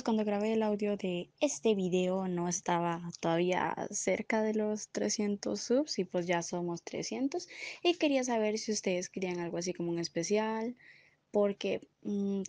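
A woman's voice: mid-range voice, mellow, no echo. A woman hosting her channel, chatting casually to viewers. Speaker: channel host.

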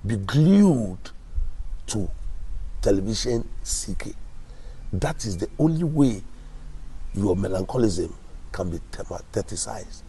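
A man with a low, dark voice speaks slowly in pompous, inflated words. His tone is very breathy. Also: sing-song, low-quality recording. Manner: pompous, grandiloquent wording. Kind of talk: mock-formal outro.

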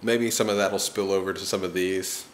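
Deep-voiced man vlogging to camera. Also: a little fast, a little hiss or hum. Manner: relaxed, rambling, self-deprecating. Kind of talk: casual vlog talk to camera. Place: porch and living room of a house, then dark room. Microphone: handheld camera at arm's length.

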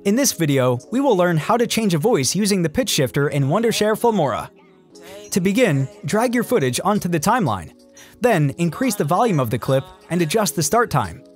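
A man narrates a scripted tutorial in a high-set voice. His intonation rises and falls in a lively way. Music runs underneath the instructions. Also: fairly quick. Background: background music.

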